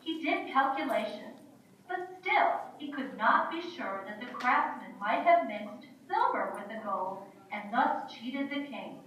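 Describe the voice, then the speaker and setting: A woman's voice: on the high side, lively intonation, measured pace, fairly bright tone, lo-fi sound. A woman narrator reading a scripted story for a children's puppet show. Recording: very echoey room, distant mic, faint noise.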